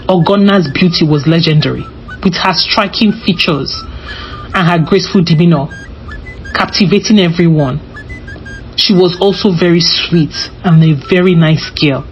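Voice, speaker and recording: Female storyteller, slightly low voice, scripted folktale narration, a bit dull in sound over music. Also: normal speed.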